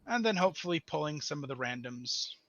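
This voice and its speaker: Man, podcast guest, casual gaming talk, fairly high bright voice, dry muffled lo-fi recording. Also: lively intonation, a little fast. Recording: dull, dry lo-fi voice channel.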